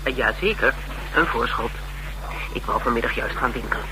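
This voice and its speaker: An actor playing a shrewd, money-minded private investigator in a Dutch radio drama, asking short probing questions with sardonic, teasing banter. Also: male voice, mid-range voice, normal speed, close to an everyday voice. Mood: sardonic, haggling. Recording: noisy radio drama recording.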